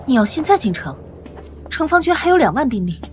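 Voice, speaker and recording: An actress voicing a brisk, concerned young female lieutenant in a motion-comic drama, earnest and direct. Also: high voice, sing-song, a little mellow, faint music behind. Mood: concerned, serious.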